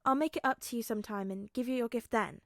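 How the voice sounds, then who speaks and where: A woman voicing a kind, gentle, apologetic teenage boy hero in an earnest, reassuring way. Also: a little high, fast, dry recording, studio quality, natural voice. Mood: apologetic, resigned.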